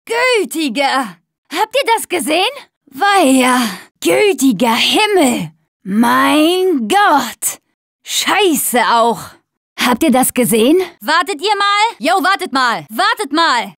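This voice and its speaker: A young woman survivor in a zombie apocalypse game, tough and wry. Her voice is high and recorded very dry. She delivers short callouts to her team. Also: a bit bright, no room sound, exaggerated voice. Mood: shocked, then wary.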